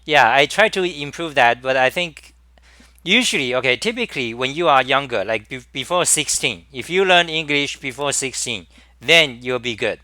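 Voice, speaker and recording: A man with a Chinese accent hosting a live-stream talk, speaking in halting bursts with many restarts and repeated words. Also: mid-range voice, lively intonation, bright, forceful tone, dry recording. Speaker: host.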